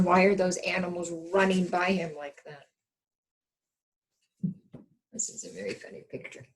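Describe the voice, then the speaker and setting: A woman reading a storybook aloud as a kindergarten teacher, expressive and pausing to ask young children questions and point things out. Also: fairly low pitch, low-quality recording.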